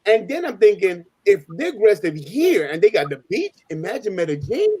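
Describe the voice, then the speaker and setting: A man's voice: sits high, big pitch swings, moderate pace, dark tone, breathy edge, no echo. A man who is a native Spanish speaker tells stories casually on a panel, using slangy street talk, repeating himself emphatically and acting out dialogue. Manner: emphatic, repeats phrases, acts out quoted dialogue. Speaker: panelist on a live open panel. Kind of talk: casual animated storytelling.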